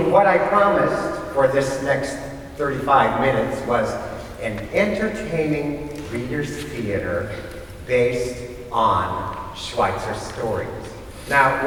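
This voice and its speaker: An American man speaking as host of a stage event, introducing it informally in a halting, wry way. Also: mid-range voice, fairly bright tone. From rural Kansas, USA. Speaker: director and host of a readers theater. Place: large echoey room before a live audience. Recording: roomy echoing hall, distant mic, faint noise.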